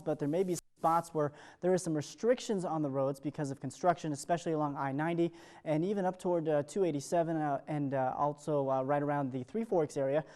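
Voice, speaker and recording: A man's voice: fairly high pitch, warm tone. A man presenting a live morning weather forecast as a television meteorologist, in upbeat, ad-libbed broadcast patter.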